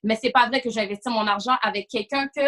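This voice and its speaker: A woman's voice: a little high, fast talker, pushed and bright. A woman with a Quebec French accent speaking as a panelist in a casual discussion, emphatic, repeating phrases and slipping into English. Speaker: podcast panelist.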